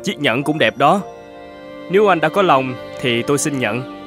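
An actor playing a kind, humble older shepherd in an animated folk tale, speaking politely and gently. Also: male voice, on the high side, normal speed, over music, natural voice. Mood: kind, gentle. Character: kind, humble older shepherd, hospitable, fearful under threat.

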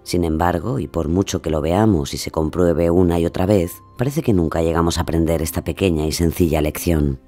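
An actor playing a wry, knowing narrator in a scripted audio drama, measured and sardonic. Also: male voice, sits low, dark tone, soft background music. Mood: reflective. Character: wry, knowing male narrator addressing the heroine.

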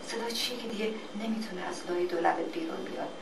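Woman reading as a low, hushed, mysterious shadow figure. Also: creaky. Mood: somber, incantatory. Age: middle-aged. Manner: deliberate, often hushed, repeating words. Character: mysterious shadowy female presence, calm, hypnotic, beckoning. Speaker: actor reading a play. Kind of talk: dramatic, poetic second-person play reading. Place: indoor hall or classroom, staged reading. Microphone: dynamic mic on table stand. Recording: echoey, noisy live hall, distant.

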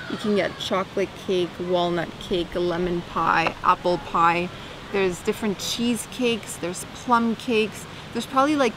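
A young woman vlogging to camera on an outdoor cafe terrace, chatting and tasting food. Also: medium pitch. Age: young adult. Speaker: travel vlogger. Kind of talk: casual chat to camera. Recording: noisy outdoor recording.

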